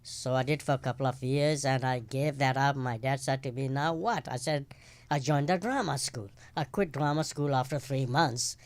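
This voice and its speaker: An older man from Kenya, a guest actor in a casual interview, telling stories with dry, deadpan jokes and short playful answers. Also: mid-range voice, normal speed, no echo.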